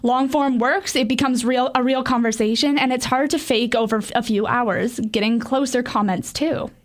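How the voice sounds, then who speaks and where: A woman's voice: a little high, fairly even intonation, moderate pace. A young woman, a student broadcaster, reading listener messages on air and chatting agreeably. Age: young adult. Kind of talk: reading messages aloud and casual chat.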